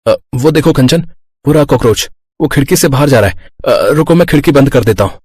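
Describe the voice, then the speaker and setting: A man's voice: medium pitch, lively intonation, brisk, a little mellow, husky, no room sound, studio quality. Man acting a plain-spoken young husband. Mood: earnest.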